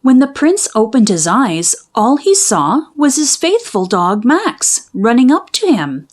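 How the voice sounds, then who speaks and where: A woman reading a children's story aloud in expressive, dramatic, carefully phrased narration. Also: on the high side, a little slow. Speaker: storybook reader.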